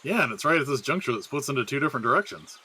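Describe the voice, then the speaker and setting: A man with a bright, forward voice at a middling pitch. He acts out scripted comedy lines as himself in an audio skit. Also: sing-song, very fast, husky. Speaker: podcast regular playing himself in a comedy skit. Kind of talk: comedic audio drama acting.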